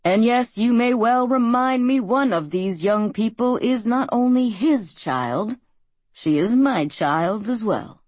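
Fervent male preacher, slow rolling cadence, read by a woman narrator, dry studio audio. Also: fairly high pitch, darkish tone, dry recording. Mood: preaching. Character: male pastor preaching a televised wedding sermon. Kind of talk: audiobook character reading.

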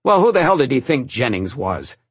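A man voicing a gruff, blunt middle-aged father at dinner. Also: medium pitch, big pitch swings, a little fast, no room sound, pitched above the performer's own voice.

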